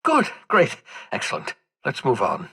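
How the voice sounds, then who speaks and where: An actor playing an eccentric, jittery older fugitive scientist in a video game, speaking in a theatrical, wordy, wry way with hesitant asides. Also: male voice, mid-range voice, huge pitch swings, measured pace, vocal fry, bright, forceful tone, breathy edge, exaggerated voice. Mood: breezy, candid. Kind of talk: video game character dialogue.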